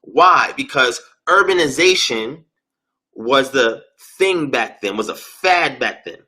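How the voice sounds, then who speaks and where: A man's voice: mid-range voice, lively intonation, slow, pushed and bright, husky. A man guest in an interview, explaining at length in an earnest, lecture-like way and drawing out key words for emphasis. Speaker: interview guest, religious teacher. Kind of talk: explanatory lecture-style talk.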